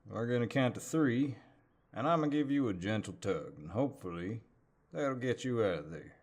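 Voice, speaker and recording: A man playing a polite, teasing cowboy neighbor in an audio roleplay, with a deep voice and a hesitant Southern US drawl. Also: expressive, moderate pace, a little mellow. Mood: exasperated, helpful. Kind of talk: audio roleplay, intimate one-sided dialogue.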